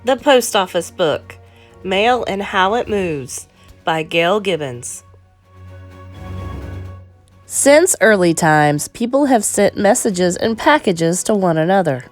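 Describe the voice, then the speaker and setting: A woman reading an educational children's picture book aloud in a measured, clearly phrased storytime delivery. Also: mid-range voice, lively intonation, soft background music.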